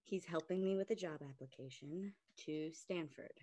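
A woman's voice: fairly low pitch, normal speed, heavy background noise, natural voice. A woman in her thirties playing a no-nonsense, sassy young expectant mother in a conversational table read, frank and slangy. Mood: firm, annoyed.

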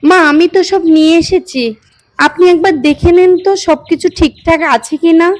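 A high-pitched young housewife voiced by a woman in a doll cartoon. She is dutiful and chatty, speaking politely with a slightly chiding edge. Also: steady tone, moderate pace. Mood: polite, mildly chiding. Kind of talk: children's doll-story voice acting.

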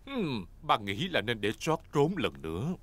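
A man's voice: medium pitch, big pitch swings, a little slow, fairly bright tone, no room sound, full character voice. A man voicing a jolly, slightly vain father pig in a dubbed cartoon. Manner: jovial, self-satisfied. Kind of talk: cartoon dubbing.

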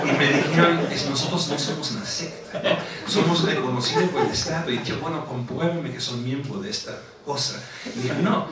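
A middle-aged man with a German accent, an author telling anecdotes on a panel, laughing as he goes and often adding tag questions. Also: a little high. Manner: animated storytelling, laughing, frequent tag questions. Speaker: author at a book presentation. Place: large lecture hall. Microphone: table microphone on stand. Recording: echoey hall from far off with noise.

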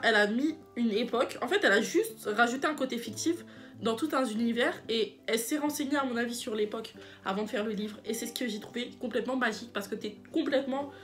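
A woman's voice: a little high, fairly bright tone. Young female book vlogger, stuffy cold voice, eager and repetitive, light music bed. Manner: enthusiastic, rambling, repeats herself. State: congested from a cold. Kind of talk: casual book review vlog.

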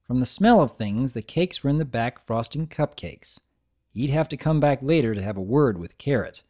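Man narrating, lively pitch movement. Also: normal speed. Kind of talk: dramatic story reading.

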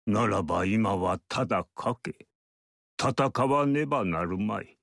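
An ageless mystic sage in a video game, calm and aloof, speaking in measured, archaic and lofty phrases. His voice sits low and unhurried, and the recording is dry and close. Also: gravelly, no room sound, full character voice. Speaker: game character. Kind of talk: game dialogue acting.

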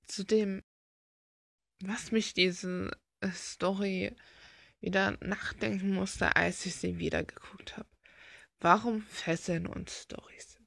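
A woman's voice: mid-range voice, creaky, fairly bright tone, no echo, clean studio sound. A woman in a casual, rambling monologue, reflective and full of rhetorical questions. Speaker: video creator talking while drawing.

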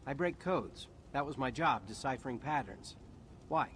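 An actor playing an earnest, plain-spoken human soldier hero in a video game, talking casually and matter-of-factly. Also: male voice, mid-range voice, lively intonation, moderate pace, fairly bright tone, a little hiss or hum, natural voice. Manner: casual, colloquial, matter-of-fact. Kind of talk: video game character acting.

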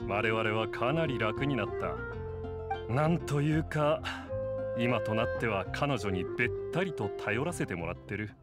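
Dry bartender, animated intonation. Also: male voice, on the low side, measured pace, over loud music. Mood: matter-of-fact. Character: gruff, dry middle-aged male tavern bartender. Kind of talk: Japanese game voice acting.

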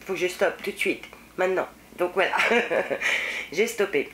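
A woman's voice: lowish voice, measured pace, fairly bright tone. Stuffy-nosed woman chatting at home. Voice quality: slightly husky, nasal from the cold. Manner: chatty and rambling, many fillers, self-mocking. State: congested with a head cold. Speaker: beauty vlogger. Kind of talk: casual unboxing chat. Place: home room with shelves of nail polish. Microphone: fixed camera at desk distance.

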